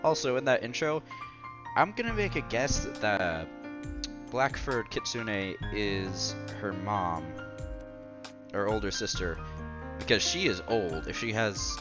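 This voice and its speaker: A man's voice: on the low side, lively intonation, slow pace, music in the background. A man reading a visual novel aloud as a streamer, with casual commentary along the way.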